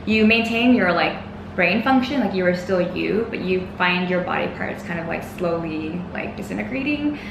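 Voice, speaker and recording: A woman's voice: lowish voice, animated, normal speed, vocal fry. A young woman, a guest chatting casually in an interview, laughing often and filling her talk with "like" and "um". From United States. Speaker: guest, designer. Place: restaurant or eatery. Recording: roomy, noisy background.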